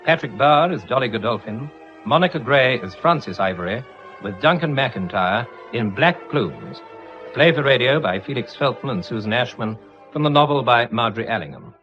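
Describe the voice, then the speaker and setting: A man giving a measured, formal scripted introduction as a radio drama announcer. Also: mid-range voice, animated, a bit bright. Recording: dull, muffled radio broadcast recording. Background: music.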